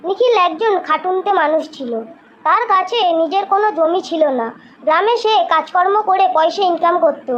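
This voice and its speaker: Very high-pitched woman storyteller. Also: moderate pace, lo-fi sound, slight background noise. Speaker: narrator. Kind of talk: scripted children's storybook narration.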